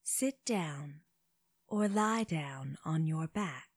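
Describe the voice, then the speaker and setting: Very slow, breathy woman guiding meditation. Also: low voice, lively intonation, dry recording. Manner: gentle, unhurried, short phrases with long pauses. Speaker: meditation guide for children. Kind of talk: slow guided body scan meditation.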